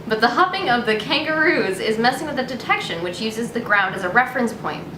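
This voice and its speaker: A woman's voice: on the high side, animated, fairly quick. A young adult woman, hosting in a casual comedic style, chatting and reading news articles aloud with informal, giggly delivery. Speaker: host reading news headlines. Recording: roomy echo with clear background noise.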